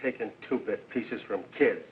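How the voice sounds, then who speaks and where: A man's voice: on the low side, moderate pace, lightly acted. Casting: male actor as indignant tough guy, blunt slang, thin vintage film soundtrack. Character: indignant, stubborn male gangster with a conscience. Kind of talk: 1930s film acting. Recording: tinny 1930s film soundtrack.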